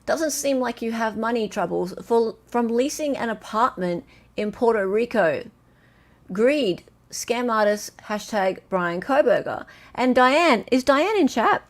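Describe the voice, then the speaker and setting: An Australian woman hosting a casual live-stream chat, excitable and talkative, reading out viewers' comments. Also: fairly high pitch, measured pace. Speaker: livestream host.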